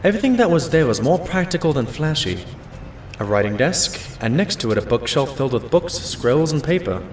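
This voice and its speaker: An actor playing a modest, loyal pony doctor who narrates and talks in an audio drama in an earnest, expressive way. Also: male voice, fairly high pitch, fairly quick, a little hiss or hum. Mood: reflective narration.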